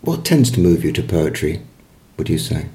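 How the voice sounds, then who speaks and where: A man's voice: very deep voice, big pitch swings, moderate pace, dark tone. A man interviewing a poet, chatty, with frequent murmured agreement. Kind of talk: conversational interview.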